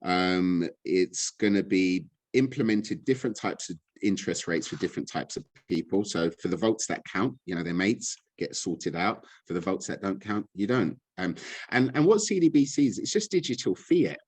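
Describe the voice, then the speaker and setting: Low-voiced ranting man. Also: animated, normal speed, husky, no room sound. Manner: ranting, frustrated, blunt. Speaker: guest speaker on money and gold. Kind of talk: impassioned casual talk.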